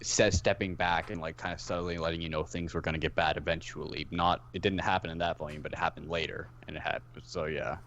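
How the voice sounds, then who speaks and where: A man with a deep voice chats casually as a podcast guest. His phrasing is halting, often repeating words as he goes. There is faint noise behind him. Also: level tone, a bit bright, muffled recording. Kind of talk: casual chat.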